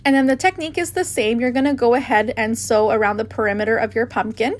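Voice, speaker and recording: A woman's voice: on the high side, fast talker. A woman hosting a craft tutorial, narrating casually with gushing enthusiasm.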